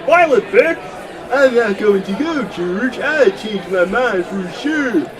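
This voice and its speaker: A man's voice: high-pitched, animated, slow, a crowd in the background. A man voicing boisterous comic puppet townsfolk in a live Christmas radio play. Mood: jolly. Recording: live stage with audience noise.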